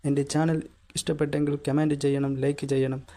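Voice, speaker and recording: A man's voice: fairly high pitch, little pitch movement, fairly quick, mellow. A Malayali man narrating a step-by-step repair tutorial in a plain, instructional manner. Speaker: host of a repair tutorial channel.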